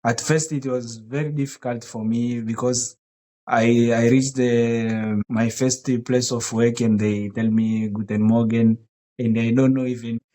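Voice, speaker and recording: A man whose first language is Swahili, co-hosting a podcast in English, speaking in a halting way with restarts and polite phrasing. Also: mid-range voice, steady tone, dark tone, rough recording. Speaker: podcast co-host. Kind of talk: casual podcast talk.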